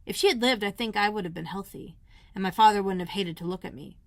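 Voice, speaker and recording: Storybook part: spoiled, sickly boy of ten played by a woman, plaintive and demanding, slightly raised pitch, dry sound. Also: fast talker, no echo, close to an everyday voice. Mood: bitter. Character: imperious, self-pitying sickly ten-year-old rich boy.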